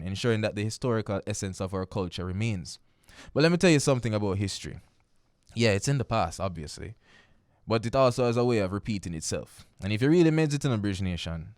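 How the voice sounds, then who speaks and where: A Jamaican man hosts a casual radio talk show in a low, smooth voice. He talks in loose, repeating phrases and keeps checking that listeners follow him. His studio sound is dry and close. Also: no room sound. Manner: repeats phrases, asks "you understand me?".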